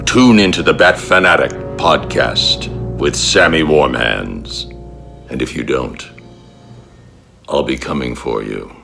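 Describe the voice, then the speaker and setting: A gruff, brooding vigilante, with a growl pushed down to an extremely deep register. The gravelly delivery is slow and deliberate. The tone is hard-edged and forceful, with faint music under it. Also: male voice, heavily acted. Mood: menacing. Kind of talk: podcast intro bit.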